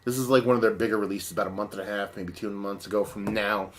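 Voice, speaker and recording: A man casually reviewing a beer in a rambling, profane way, his voice partly lost and sitting lower than usual. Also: level tone, fast, noisy background.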